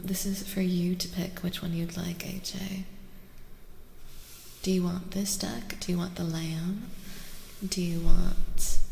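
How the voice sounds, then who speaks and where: A soft-spoken woman hosting a casual ASMR livestream, playful and unhurried, often slipping into a breathy whisper as she chats with viewers. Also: on the low side. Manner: casual, playful, reacting to chat. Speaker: livestream host. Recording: roomy echo, faint background noise.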